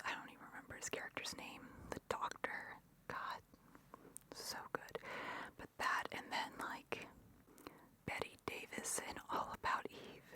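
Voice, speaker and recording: A woman speaking in a breathy whisper, rambling informally and sharing opinions in an ASMR style as the host. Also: pushed and bright.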